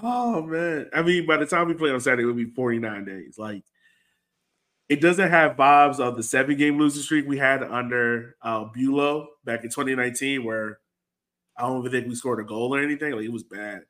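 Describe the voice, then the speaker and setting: Male podcast host, mid-pitched, informal conversational sports talk. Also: moderate pace, fairly bright tone. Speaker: host of a soccer fan podcast.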